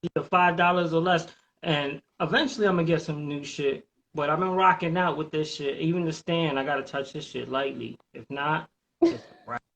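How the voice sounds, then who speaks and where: A man from New Jersey hosts a live podcast in a slightly high voice. He rambles casually and swears freely, in a streetwise manner. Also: normal speed, gravelly, fairly bright tone, low-quality recording. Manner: profane, rambling, streetwise talk. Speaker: podcast host.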